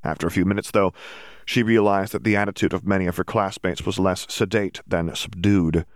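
A man's voice: low-pitched, fairly even intonation, a little fast, no echo, clean studio sound. A man reading a fantasy story aloud as scripted audiobook narration.

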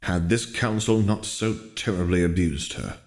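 Guy reading as a tired thirtysomething space monk, low voice, dry n wry, resolute, storybook, very close dry sound. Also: a little slow, no echo. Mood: angry, tired. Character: world-weary Jedi man in his thirties, wry, resolute.

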